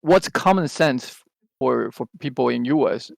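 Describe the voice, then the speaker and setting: Gravelly man. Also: medium pitch, a little slow, no room sound. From Taiwan. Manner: rambling, with many restarts and hesitant fillers. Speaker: podcast co-host. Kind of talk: casual chat.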